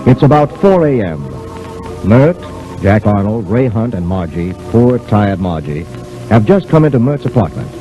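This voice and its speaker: A man's voice: on the low side, big pitch swings, moderate pace, rough recording. A man announcing and narrating an old-time radio serial in scripted storytelling style. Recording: hissy old radio broadcast recording. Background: music.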